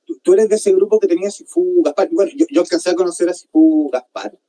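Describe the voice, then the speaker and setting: A man with a Chilean accent, hosting an interview and chatting in a lively, friendly way. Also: high-pitched, quick pace, poor audio. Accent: Chilean Spanish. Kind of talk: casual interview chat.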